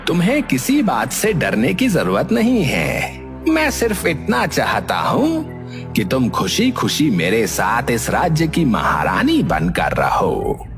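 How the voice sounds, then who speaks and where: A man's voice: medium pitch, huge pitch swings, slow pace, over music, exaggerated voice. A man voicing gracious noblemen in a storybook tale, speaking formally and courteously. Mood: reassuring. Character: gracious storybook men: a grand king and courteous husband.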